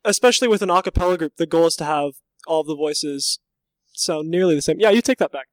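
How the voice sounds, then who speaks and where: A man's voice: a little high, fast talker, no echo. A teenage boy who sings tenor, talking about himself in a joking, self-deprecating way. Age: teens. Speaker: tenor in a high school a cappella group.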